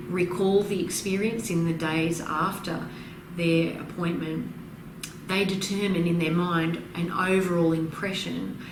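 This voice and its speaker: A woman consultant in an interview, explaining in a measured, emphatic way and listing her points one by one. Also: lowish voice. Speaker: practice consultant and author being interviewed. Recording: roomy, distant mic, noisy background.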